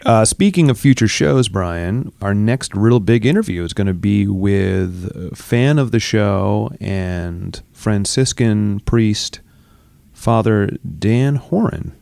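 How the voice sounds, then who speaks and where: A man's voice: lowish voice, expressive, slow, creaky, darkish tone, no echo. A man co-hosting a running podcast, chatting in a teasing, rambling way. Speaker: podcast co-host. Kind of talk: casual chat. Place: studio.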